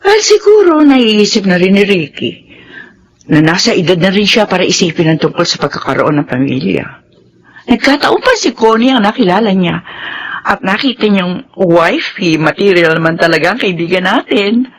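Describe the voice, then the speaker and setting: Chatty filipina coworker spilling gossip, husky mid voice, radio drama style. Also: female voice, medium pitch, very animated, normal speed. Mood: gossipy, knowing. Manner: chatty, confiding. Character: gossipy, knowing friend and coworker.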